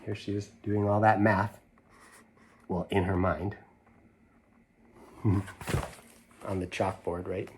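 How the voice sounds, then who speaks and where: A man's voice: lowish voice, level tone, slow, long pauses, slight background noise. A man reading aloud to children in a casual style, adding conversational asides and questions to his listeners. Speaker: children's story reader.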